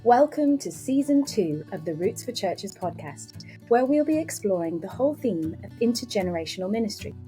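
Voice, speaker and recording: A woman hosting a church podcast who reads a scripted intro and then chats with a guest, enthusiastic and quick to laugh. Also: mid-range voice, sing-song, mellow, soft background music, poor audio. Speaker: podcast host.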